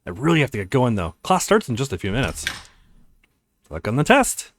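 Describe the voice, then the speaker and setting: A man voicing a stern, busy woman professor with a brisk, condescending manner in a visual novel. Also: mid-range voice, wildly swooping pitch, background noise, pitched below the performer's own voice.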